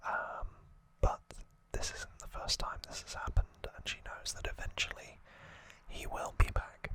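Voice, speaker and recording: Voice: a little slow, pushed and bright. An ASMR host whispering an unscripted story recap in a hesitant, slightly wry way.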